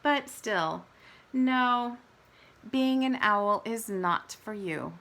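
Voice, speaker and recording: Storybook mother frog, voiced by a woman: firm, slow, emphatic, patiently laying out each point with mild exasperation. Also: fairly high pitch, animated, fairly bright tone, exaggerated voice. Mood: exasperated. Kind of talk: children's picture book read-aloud.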